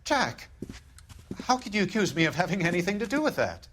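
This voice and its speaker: An actor playing a smooth, evasive company executive with a polite, genteel manner in a 1940s detective game. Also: male voice, sits high, big pitch swings, brisk, fairly bright tone, slight background noise. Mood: smooth protest.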